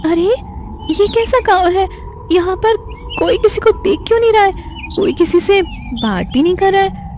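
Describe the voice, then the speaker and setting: A woman's voice: very high-pitched, expressive, normal speed, a little mellow, slight background noise, voice pushed up. A woman voicing a brave, earnest young heroine in a cartoon moral story, with dramatic, wondering delivery. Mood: puzzled.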